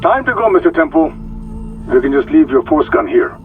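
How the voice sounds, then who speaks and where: Game villain: a smug, falsely polite evil doctor, highish swooping delivery, dull log recording over music. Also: male voice, very animated, a little fast. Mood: smug menace. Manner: mock-courteous, menacing. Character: sinister, smugly polite male mad doctor villain. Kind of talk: video game audio log acting. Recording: lo-fi audio log.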